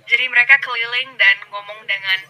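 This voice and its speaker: A woman from Canada talking casually to her vlog audience in a lively, friendly way, laughing easily. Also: on the high side, fairly quick. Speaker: vlogger.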